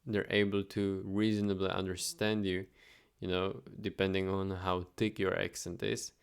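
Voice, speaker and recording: Young male vlogger, low gravelly voice, relaxed chatty delivery, clear tone. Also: normal speed. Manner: relaxed, chatty, thinks aloud with fillers. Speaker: automation agency owner vlogging. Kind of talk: casual screen-share vlog.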